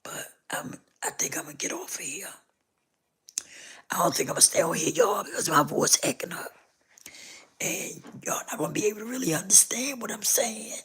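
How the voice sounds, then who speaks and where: A woman hosting a casual live stream, hoarse with a rough, scratchy voice, repeating herself as she talks straight to her viewers. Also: mid-range voice, very animated, moderate pace, a bit bright, whispered.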